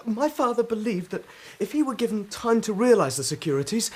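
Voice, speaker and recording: An actor playing a frightened, desperate young man in a radio drama, halting, pleading and agitated. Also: high voice, very animated, breathy edge, exaggerated voice. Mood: indignant, distressed. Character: frightened, desperate, high-strung young male suspect.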